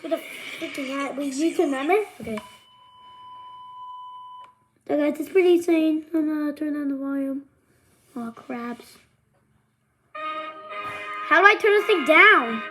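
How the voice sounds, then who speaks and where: A child with a high voice talking casually and ramblingly to viewers as a vlogger. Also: measured pace, pauses often, over music.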